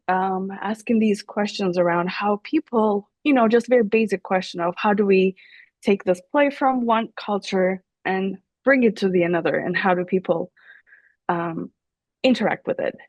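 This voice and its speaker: A woman's voice: medium pitch, moderate pace, darkish tone, no room sound. A woman professor whose first language is Turkish, answering interview questions thoughtfully with many fillers and restarts. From Turkey. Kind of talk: academic interview.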